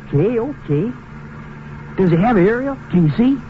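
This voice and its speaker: A man's voice: high-pitched, expressive, moderate pace. An actor playing a chatty, fussy teenage boy full of questions in a 1950s radio drama. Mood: eager, nagging. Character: chatty teenage boy riding with a car thief. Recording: muffled old radio recording, faint noise.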